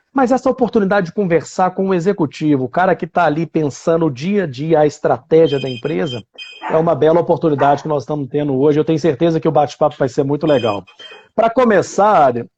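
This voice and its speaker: A Brazilian man opens an online interview with eager, talkative warmth, his slightly high voice husky and soft in tone. The sound is muffled, like a lo-fi video call. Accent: Brazilian Portuguese. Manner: enthusiastic, chatty with filler words. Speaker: interview host.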